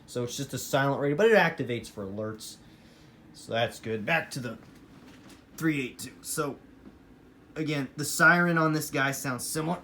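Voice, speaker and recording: Casual American male hobbyist vlogger, mid-pitched, informal and enthusiastic, rambling delivery, noisy room recording. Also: normal speed, pauses often, sharp tone. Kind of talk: casual rambling show-and-tell of a radio collection. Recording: noisy room with clear background noise.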